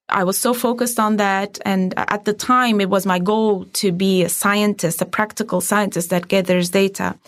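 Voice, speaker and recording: A woman's voice: mid-range voice, gravelly. A woman from Angola speaking reflectively in an interview as a scientist.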